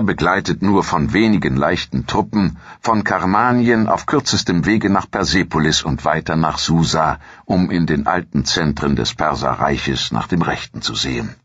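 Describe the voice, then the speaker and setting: A male narrator speaks in an extremely deep, husky register. He reads scripted documentary audiobook text. The recording is dry and somewhat muffled. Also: a bit bright, no echo.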